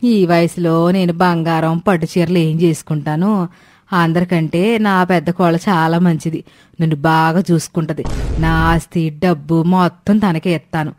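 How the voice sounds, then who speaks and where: Woman playing a scheming elderly mother-in-law, low-voiced. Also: fairly even intonation, normal speed, darkish tone, natural voice. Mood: sly, sweet-talking. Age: older. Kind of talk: Telugu moral cartoon story voice acting.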